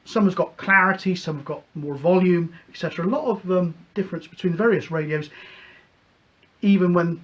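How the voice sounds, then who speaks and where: A man's voice: sits high, brisk. A man from the UK hosting a hobby show, explaining things casually in a chatty, rambling, hesitant way. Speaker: host of a CB radio show.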